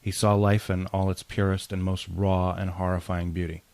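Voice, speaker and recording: Deep, gravelly man reading a tribute. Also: little pitch movement, moderate pace, darkish tone, no room sound. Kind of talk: spoken eulogy reading.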